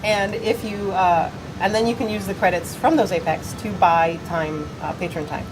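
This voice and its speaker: A woman's voice: lowish voice, moderate pace, background noise. A woman with a cold, explaining game features casually on a livestream with many fillers. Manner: plain, informative, with fillers. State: has a cold. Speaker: community manager on a game stream.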